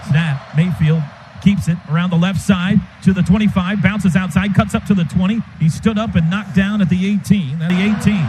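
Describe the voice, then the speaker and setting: An American man giving live radio play-by-play of a football game in clipped phrases with excited calls. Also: sits high, normal speed, a little hiss or hum.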